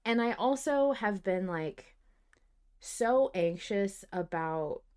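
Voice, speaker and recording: A woman hosting a podcast, chatting casually and emphatically, with informal, animated delivery. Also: medium pitch, slow, smooth. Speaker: podcast host. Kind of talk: casual fan podcast chat.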